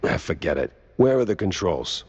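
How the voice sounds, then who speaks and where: A man playing a world-weary hardboiled mouse private detective in a noir cartoon game, deadpan and wry. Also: sits low, normal speed, muffled recording, a little hiss or hum. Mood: dry, impatient.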